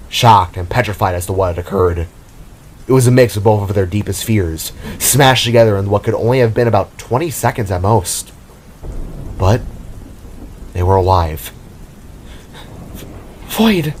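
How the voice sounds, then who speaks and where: A man's voice: on the low side, darkish tone, slight background noise. A man reading scripted, dramatic story narration. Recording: music and rain under the voice. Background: music, rain and thunder.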